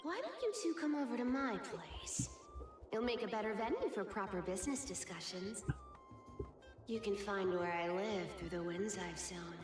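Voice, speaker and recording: Sly, playful woman thief, highly animated voice actress. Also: moderate pace, natural voice. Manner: coy, mocking, theatrical. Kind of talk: video game voice acting. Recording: echoey, with loud game music behind. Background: game music.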